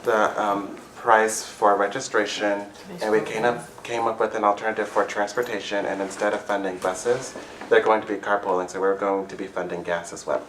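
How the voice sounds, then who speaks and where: A young man with a fairly low, flat voice lays out a funding report, pausing on ums. The room around him is echoey, with a faint hiss. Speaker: student senator presenting funding.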